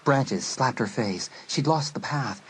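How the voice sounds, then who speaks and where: A man's voice: medium pitch, animated, unhurried, slight background noise. A man narrating a horror audiobook in a suspenseful, dramatic storytelling manner. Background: loud music.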